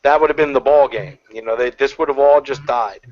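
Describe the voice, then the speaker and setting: A man talks in a loose, rambling way, full of fillers and restarts. His voice sits in a mid pitch. The sound is muffled, lo-fi online call audio. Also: fairly even intonation, fairly quick, a little mellow. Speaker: panel guest. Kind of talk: casual online panel chat. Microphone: video call.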